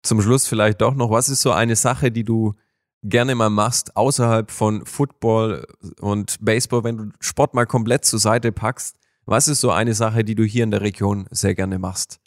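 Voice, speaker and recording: A man's voice: fairly low pitch, fairly even intonation, normal speed, dry recording, clean studio sound. A man hosting a podcast interview, asking questions and giving short encouraging replies. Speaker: podcast host.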